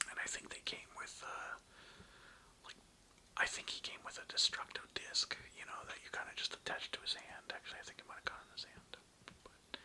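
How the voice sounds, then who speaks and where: A person whispering a casual, slangy, nostalgic ASMR ramble in a hesitant, meandering way, close to the microphone. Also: rough recording. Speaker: ASMR video creator.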